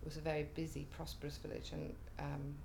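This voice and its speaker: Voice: mid-range voice, monotone, brisk, vocal fry, darkish tone, a little hiss or hum. A middle-aged native Welsh speaker with a Welsh accent, telling stories in an interview with many fillers and restarts. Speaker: interviewee, community cafe organiser. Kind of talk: relaxed storytelling interview.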